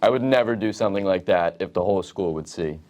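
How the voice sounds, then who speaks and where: A teenage male high school student co-hosting a school show with scripted joke banter for an audience. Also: quick pace, a little mellow. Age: teens, high school student.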